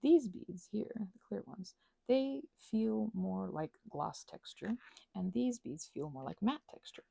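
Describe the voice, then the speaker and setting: A woman with a heavily creaky, husky voice rambles casually in a playful ASMR style. Her pitch swings around as she interrupts herself with asides. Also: animated, a little slow, warm tone, dry recording. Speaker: ASMR and audio creator.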